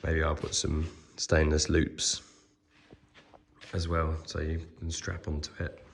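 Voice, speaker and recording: A man with a cold talks in a croaky, very deep voice with a gravelly edge, rambling easily and filling gaps with ums. He films handheld in a workshop, and a faint noise sits under his voice. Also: takes it slowly. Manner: relaxed, many ums, trailing off. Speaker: vlogger restoring a truck. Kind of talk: casual workshop walkaround.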